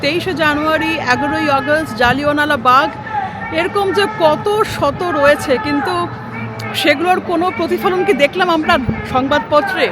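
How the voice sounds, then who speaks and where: A woman's voice: high-pitched, normal speed, over a noisy crowd, heavy background noise. A woman with an Indian Bengali accent, narrating a vlog as if talking straight to her viewers. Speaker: travel vlogger. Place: outdoors in a city square and voice-over.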